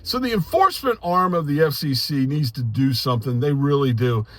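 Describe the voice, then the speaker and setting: Higher-voiced older American man, earnest and plainspoken. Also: expressive, fairly bright tone, no room sound. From United States. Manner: plainspoken, earnest, halting with repeated words. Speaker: amateur radio hobbyist giving commentary. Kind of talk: informal opinion monologue to camera.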